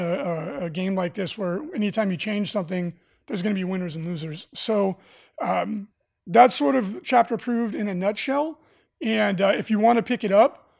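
A raspy-voiced man hosting a casual live stream for a game store, talking enthusiastically with lots of fillers. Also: high-pitched. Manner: enthusiastic, rambling with many fillers. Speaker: livestream host for a game store.

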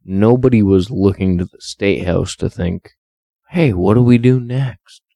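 Man, podcast co-host, deep dark gravelly voice, slow pace, dry close mic. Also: no echo. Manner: slow, halting, profane, many fillers. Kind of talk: casual podcast chat.

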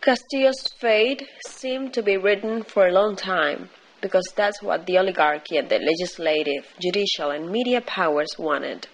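A woman reading a scripted news commentary in a formal, deliberate way. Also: lowish voice, slight background noise, rough recording. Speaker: news reader.

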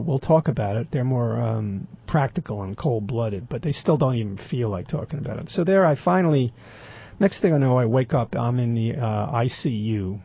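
An older man with a raspy voice, telling a rambling personal story with dry, wry humor and many fillers. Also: mid-range voice, highs cut off. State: throat occasionally closing up.

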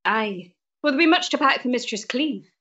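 A woman's voice: on the high side, expressive, normal speed, pushed and bright. Woman playing a chatty old servant, slightly muffled. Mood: chatty, obliging. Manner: homely dialect, garrulous. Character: old, chatty, devoted woman servant, wry about husbands. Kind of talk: audio drama acting.

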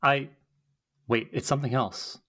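A woman reading the part of an eager but anxious young witch in a podcast script table read, expressive and pleading. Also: very deep voice, a little slow, full character voice. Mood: nervous, serious.

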